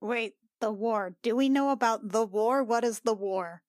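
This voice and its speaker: A woman's voice: fairly high pitch, measured pace, darkish tone, no echo, studio quality. A woman playing in a tabletop role-playing game, improvising in casual conversation. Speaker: player in a tabletop game podcast. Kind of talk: casual improvised in-character chat.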